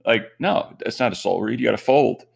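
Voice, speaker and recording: A man from Michigan with a Midwestern American accent, giving relaxed, joking live poker commentary. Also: medium pitch, a little fast, vocal fry, breathy edge. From Michigan, USA. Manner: joking, laid-back banter.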